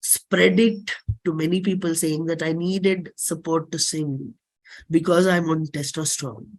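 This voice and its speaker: Slow, low-pitched Indian woman reading dramatically. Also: fairly even intonation. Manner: deliberate, declamatory. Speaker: performer reading own play. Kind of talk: dramatic reading of a play script.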